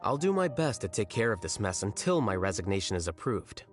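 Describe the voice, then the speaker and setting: A cool, unbothered young scholar, with a lowish voice and dry, cutting delivery. Soft game music sits faintly behind him. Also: male voice, fairly quick. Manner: calm, deadpan, cutting. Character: aloof, dryly sarcastic male scholar. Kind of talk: video game dialogue.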